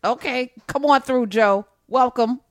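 A woman's voice: a little high, a little slow. A woman hosting a call-in radio show, casually bantering and teasingly questioning callers. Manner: quizzical, teasing, pokes holes in callers' stories.

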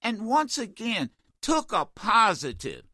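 A man hosting a talk radio show, ranting in an animated, exasperated way with many stammered restarts, his voice getting worn. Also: sits high, slow pace, no echo, studio quality. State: losing his voice. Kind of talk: opinionated talk radio rant.

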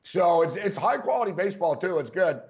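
A man's voice: sits high, very animated, fairly quick. Chatty male sports host, lo-fi sound. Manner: chatty, laughs often, many fillers and restarts. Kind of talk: casual sports chat. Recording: dull lo-fi sound.

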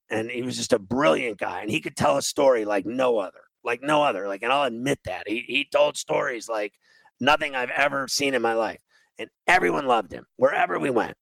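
A man hosts a radio show, talking in a rough, grating, gravelly voice. He rambles and mocks himself through a long story, packing his talk with fillers. The sound is dry and close to the mic. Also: mid-range voice, fairly bright tone, no room sound, rough recording. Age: 50s. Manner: rambling, self-mocking, full of fillers.

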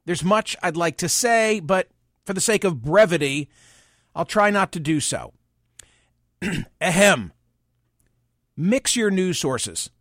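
A man in his sixties, hosting his own show, musing in a measured, wry monologue. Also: high voice, lively intonation, fairly bright tone, no echo, clean studio sound. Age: 60s. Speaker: podcast and radio host. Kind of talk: reflective personal monologue.

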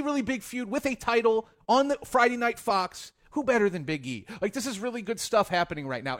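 Guy with a pretty high voice hyping wrestling on a podcast, chatty. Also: fairly bright tone. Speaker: podcast co-host. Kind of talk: casual chat, wrestling commentary.

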